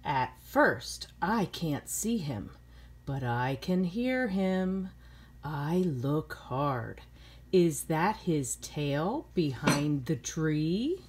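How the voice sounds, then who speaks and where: A woman's voice: low voice, lively intonation, drawn-out words, darkish tone, slight background noise. A middle-aged woman reading a children's picture book aloud expressively, with simple phrasing for young listeners.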